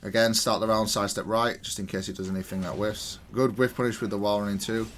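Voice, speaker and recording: A man's voice: fairly low pitch, steady tone, quick pace, a bit bright, soft background music. A man giving casual, matter-of-fact commentary as he analyses a video game match, explaining each move. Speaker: gaming content creator.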